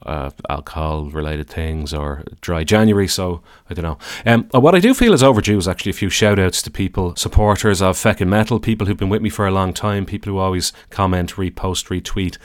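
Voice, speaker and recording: A deep-voiced Irish man with a calm, soothing tone, talking his way through a podcast in a loose, wandering manner. His delivery is easygoing and wry. The recording is close and dry, with no room sound. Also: a little fast, few pauses, no echo. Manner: easygoing, rambling, dry self-deprecating humour. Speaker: podcast host. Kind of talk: casual solo podcast monologue.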